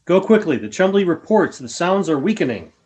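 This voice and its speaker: Man acting a husky, courteous alien ally. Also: high voice, very animated, darkish tone, full character voice. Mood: urgent. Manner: formal, measured. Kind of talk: radio play acting.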